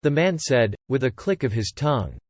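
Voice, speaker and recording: A man narrating fiction from a script as an audiobook narrator, in a measured, descriptive way. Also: mid-range voice, very animated, a little mellow, no room sound.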